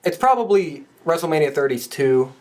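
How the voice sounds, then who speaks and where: A man's voice: on the high side, animated, normal speed, a little mellow. A man co-hosting a podcast, speaking in a casual conversational style. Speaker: podcast co-host.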